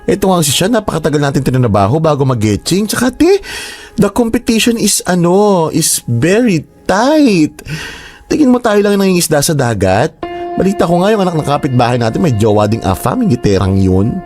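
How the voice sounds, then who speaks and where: A man's voice: on the high side, big pitch swings, a little fast, a little mellow, faint music behind. A man playing a flamboyant gossipy best friend. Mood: dramatic. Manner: theatrical, swooping exclamations. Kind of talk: radio drama acting.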